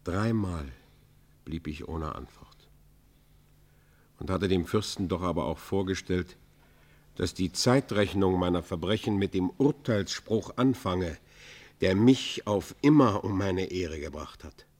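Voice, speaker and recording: A repentant robber in a classical radio play. His voice sits low and his speech is formal and declamatory. He pleads for mercy with stubborn pride, stressing his words emphatically. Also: a little slow, darkish tone. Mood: proud, bitter. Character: proud, remorseful outlaw robber pleading for mercy. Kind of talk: German radio play, classical literary acting.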